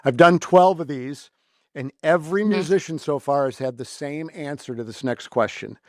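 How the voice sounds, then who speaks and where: A man's voice: on the high side, normal speed, no room sound, clean studio sound. An American man hosting a casual podcast interview in a chatty, informal, effusive way. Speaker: podcast host.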